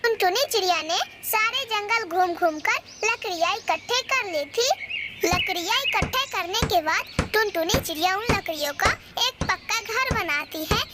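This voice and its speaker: A woman's voice: extremely high pitch, lively intonation, moderate pace. A woman storyteller reading a scripted narration for a children's cartoon story. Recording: very noisy track.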